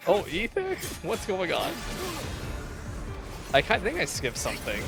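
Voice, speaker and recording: A woman giving casual, expressive reaction commentary as she plays a video game, full of exclamations and playful slang. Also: on the low side, a little slow, creaky. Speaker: gaming streamer reacting to a game. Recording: loud game music under the voice. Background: game music and dialogue.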